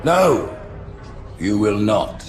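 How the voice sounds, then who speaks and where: An actor playing a stern alien high priest in a video game, speaking in solemn, pompous pronouncements. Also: male voice, big pitch swings, extremely slow, exaggerated voice. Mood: solemn condemnation. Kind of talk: dramatic video game acting.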